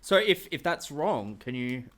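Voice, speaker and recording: A man's voice: medium pitch, sing-song, measured pace, fairly bright tone, a little hiss or hum. A man hosting a casual interview, asking questions in a dry, teasing way. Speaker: interviewer, host. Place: small indoor streaming room. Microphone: close studio microphone.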